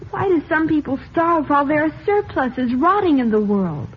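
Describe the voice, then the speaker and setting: A woman's voice: high voice, expressive, normal speed. An actress playing an earnest, idealistic teenage girl in hiding, in the style of 1950s radio drama. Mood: earnest, questioning. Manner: earnest, questioning, impassioned. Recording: muffled, noisy 1950s radio recording.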